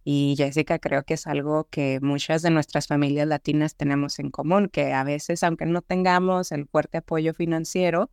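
A woman's voice: sits low, level tone, moderate pace, hardly any pauses, darkish tone, clear, resonant tone, no echo, studio quality. Earnest young woman from Juárez border. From Mexico, Juárez border region. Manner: friendly, earnest, many fillers. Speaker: guest. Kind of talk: podcast interview.

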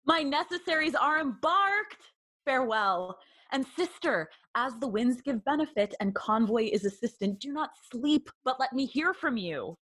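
Earnest, admonishing older sibling, classical audio drama. Higher voice, very animated, theatrical, dry recording. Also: female voice, moderate pace, no room sound, poor audio. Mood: affectionate, bright. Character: Laertes, protective, earnest, cautioning older sibling. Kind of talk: Shakespearean audio drama acting.

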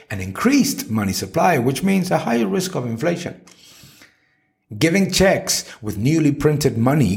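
A man reads a scripted article aloud in a mid-range voice. His intonation swings widely up and down. He phrases each point with deliberate emphasis, as a narrator presenting an argument. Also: medium pitch, darkish tone. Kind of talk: scripted reading of an economics article.

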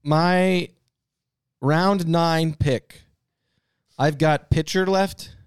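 Man, slightly high voice, very lively intonation, emphatic, slangy, rambling, casual podcast talk, dry sound. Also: very slow, clean tone, no echo. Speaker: podcast co-host. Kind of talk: casual sports banter.

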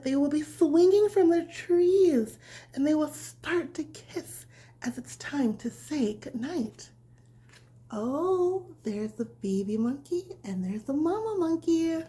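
Slow, soft-toned woman reading a bedtime story. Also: high-pitched. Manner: nurturing, childlike simple phrasing, as if to a small child. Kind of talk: reading a picture book aloud as a bedtime story, with asides to the listener.

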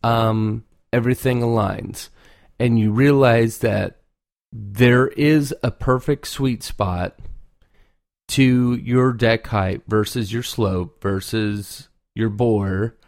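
A man's voice: on the low side, steady tone, slow pace, creaky, a little mellow, dry recording, studio quality. A man giving informal, off-the-cuff instruction as a hands-on craftsman, often repeating words for emphasis. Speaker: duck call maker giving advice.

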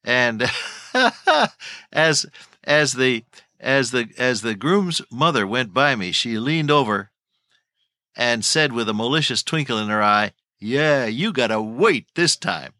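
A man's voice: mid-range voice, lively intonation, slow, bright, forceful tone, dry recording. An older man teaching the Bible on radio, telling folksy stories and chuckling as he goes.

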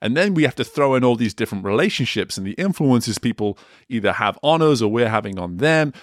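A man hosting an interview, speaking in a measured, reflective way and adding frequent short affirmations. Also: mid-range voice, expressive, dry recording, clean studio sound. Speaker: host. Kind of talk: conversational interview.

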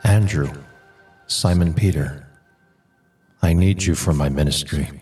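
Actor as deep-voiced, calm holy teacher. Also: male voice, unhurried, slightly rough, far from the mic, faint music behind, heavily acted. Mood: calm, commanding. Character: Jesus, calm authoritative teacher calling his disciples. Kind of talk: dramatized Bible audio drama.

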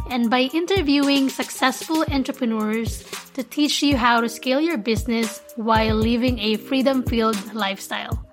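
A woman from the Philippines, speaking as a podcast host introducing and interviewing a guest. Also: on the high side.

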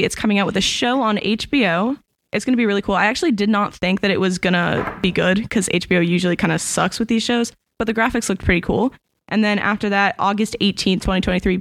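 A woman hosts a casual school podcast with a fast, upbeat delivery. The sound is dry and close, with no room around her. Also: mid-range voice, dry recording. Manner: upbeat, quick interviewer. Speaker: student podcast host. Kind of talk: casual chat.

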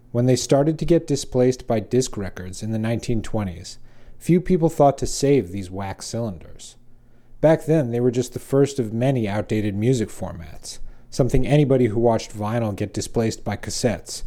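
A man's voice: mid-range voice, dark tone. A man narrating a scripted story feature as host, with wry, dry asides.

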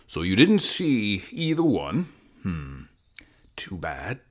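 A man's voice: fairly low pitch, wildly swooping pitch, takes it slowly, heavily acted. A man acting a smirking, skeptical police interrogator who asks leading questions in an audio crime drama. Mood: probing.

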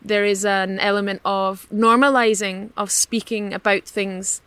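Woman, podcast host, native German speaker, appreciative, conversational, medium pitch, dry mic. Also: fairly even intonation, measured pace, a bit bright, smooth, no echo. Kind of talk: casual podcast interview.

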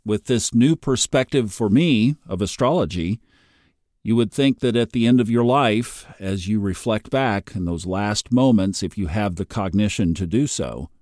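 A man's voice: fairly low pitch, normal speed, a little mellow, no room sound, studio quality. A man in his fifties hosting a daily astrology podcast, chatty and upbeat, laughing easily. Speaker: podcast host.

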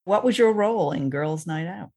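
Woman as podcast interviewer: measured introductions and brief questions to a guest. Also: lowish voice, animated, warm tone. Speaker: podcast host, writer and producer.

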